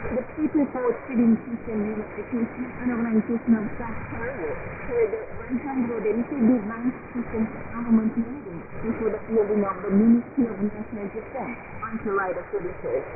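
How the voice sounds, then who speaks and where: Female newsreader, slightly high voice, formal and deliberate, tinny shortwave radio sound. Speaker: news reader. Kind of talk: scripted radio news reading. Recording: noisy, narrow-band shortwave radio broadcast.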